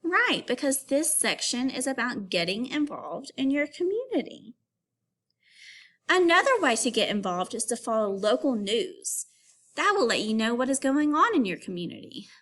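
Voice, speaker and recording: A woman teacher reading an informational text aloud to young children, pausing to give instructions and put questions to them. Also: high voice, very animated, a bit bright.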